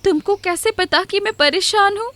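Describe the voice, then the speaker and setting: A woman's voice: very high-pitched, moderate pace, bright, forceful tone, dry recording, voice pushed up. A woman voicing a poor, distressed young mother in a children's story, pleading and bewildered. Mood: puzzled. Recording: music playing behind the voice.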